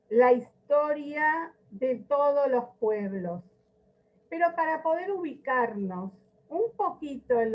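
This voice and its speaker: A woman giving an informal history lecture with storytelling, speaking emphatically and repeating words for weight, over a video call. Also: high-pitched. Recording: dull, lo-fi video call audio.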